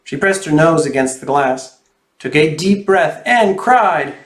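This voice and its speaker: A man with a slightly high voice in a very roomy, echoey space. He reads a children's storybook expressively and speaks straight to the kids watching, like an author hosting story time. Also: measured pace. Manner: expressive, addresses young viewers directly. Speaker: children's author reading aloud. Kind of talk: animated storybook read-aloud and friendly address to children.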